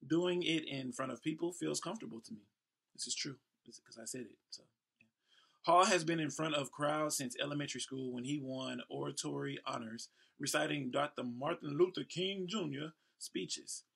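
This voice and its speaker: A man's voice: fairly high pitch, moderate pace, gravelly, slightly rough. An American man in his thirties from Houston, a host reading aloud with playful, casual asides and ad-libs. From Houston, Texas, United States. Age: 30s. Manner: playful, breaks into ad-libs and shout-outs. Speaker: host and professional event MC.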